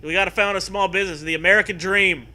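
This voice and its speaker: A man's voice: high voice, fairly even intonation, fast, sharp tone. A man reading a comic adventure story aloud in a theatrical, amused way, slipping into casual joking. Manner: theatrical, amused, breaking into riffs and swearing. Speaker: host reading aloud a choose-your-own-adventure parody.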